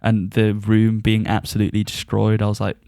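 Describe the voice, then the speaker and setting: A man's voice: lowish voice, completely flat delivery, fairly quick, gravelly, a little mellow, clear, resonant tone, no echo, clean studio sound. A man co-hosting a podcast, giving a casual review and often chuckling as he talks. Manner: chuckles often while talking.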